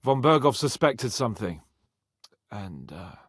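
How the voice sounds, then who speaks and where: A young medieval lord for a video game, hot-headed and entitled. His voice is a little husky and swings dramatically in pitch, recorded close and dry, with sarcastic, exasperated delivery. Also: no room sound. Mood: grave, explaining. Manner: expressive, sarcastic, swearing, quick to exasperation.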